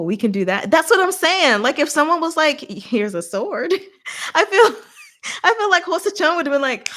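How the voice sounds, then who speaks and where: A woman hosting a casual live book discussion, retelling the plot in an animated, giggly, informal way with acted-out asides. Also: sits high. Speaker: host of a livestream book discussion. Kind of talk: casual chatty live story recap with commentary.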